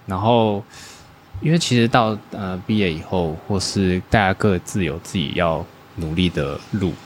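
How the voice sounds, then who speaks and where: A man answering interview questions as a guest designer, speaking hesitantly with many pauses and restarts. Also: low voice, slight background noise.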